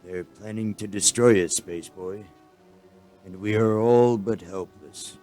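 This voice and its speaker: A man playing a humble, gloomy alien chancellor in a radio play, speaking in a formal, halting, resigned manner. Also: fairly low pitch, mellow, soft background music. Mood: courtly, resigned.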